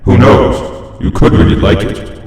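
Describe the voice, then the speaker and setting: A man voicing a possessive living stuffed toy that talks with slow, falsely friendly menace in a horror story reading. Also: low voice, darkish tone, voice pushed down. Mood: coaxing. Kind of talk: horror fan fiction reading. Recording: heavy cavernous echo and long reverb.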